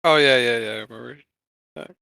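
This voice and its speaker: A man with a clear, forward, bright voice co-hosts a casual podcast. He speaks haltingly and drifts into asides. The sound is dry and close. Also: mid-range voice, no room sound. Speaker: podcast co-host.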